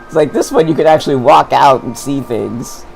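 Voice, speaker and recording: A man's voice: mid-range voice, normal speed, gravelly, a little mellow, a little hiss or hum, poor audio. A man chatting enthusiastically with a friend while playing a game, laughing a lot. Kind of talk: casual let's-play chat.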